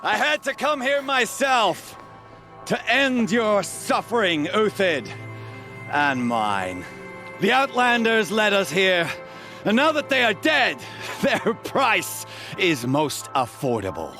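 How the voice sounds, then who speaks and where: An actor playing a haughty, cruel, scheming priest in a video game, with a theatrical and drawn-out delivery. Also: male voice, sits high, big pitch swings, heavily acted. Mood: smug menace. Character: haughty, cruel, scheming male villain priest, desperate when cornered. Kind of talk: dramatic video game acting. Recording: loud music behind the voice.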